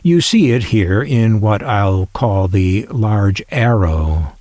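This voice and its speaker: A man's voice: fairly low pitch, animated, slow, a little mellow, dry recording. Man, collector host, leisurely pace, dry wry asides, close dry recording. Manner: dry, wry asides while reading aloud from a manual. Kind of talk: unhurried descriptive show-and-tell.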